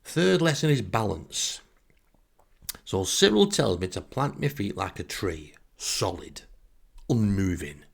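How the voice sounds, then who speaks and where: A low-voiced man with a Northern English accent tells a comic story about himself. His delivery is wry and self-mocking, with lively swings in pitch and plenty of gravelly creak. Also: slow. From Northern England. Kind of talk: humorous first-person anecdote.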